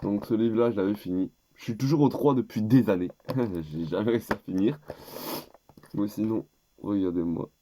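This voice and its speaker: A man's voice: lowish voice, normal speed, dark tone, a little hiss or hum. A teenage boy with a head cold, rambling and hesitant as he presents gift picks in a casual vlog. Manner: rambling and hesitant, with many restarts. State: stuffed up with a cold. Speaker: YouTuber.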